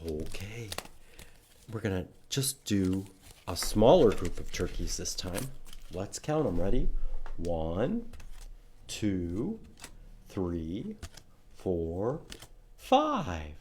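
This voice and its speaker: A man's voice: low voice, big pitch swings, drawn-out words, pauses often, a little mellow, a little hiss or hum. A man hosting a library storytime for young children, reading aloud in an exaggerated, sing-song way and prompting with questions. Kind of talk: children's storytime read-aloud with counting songs.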